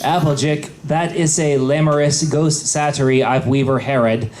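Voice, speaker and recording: A man's voice: fairly high pitch, steady tone, normal speed, darkish tone. A man reading garbled fan fiction aloud as a panelist at a convention.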